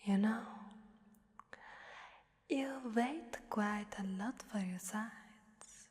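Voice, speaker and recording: Girl doing a creepy hungry demon lady for asmr, super slow breathy whisper, teasing, big echoing reverb. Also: medium pitch, a little mellow. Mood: menacing, taunting. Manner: slow, teasing, menacing, drawn-out phrasing. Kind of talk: ASMR roleplay monologue. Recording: long hellish reverb.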